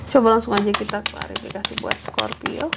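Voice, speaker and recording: A woman with a cold doing a casual, chatty tarot reading, keeping her voice soft and adding lots of fillers and tag questions. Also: medium pitch, wildly swooping pitch, fairly quick, very noisy. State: has a cold, voice kept soft. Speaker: tarot reader.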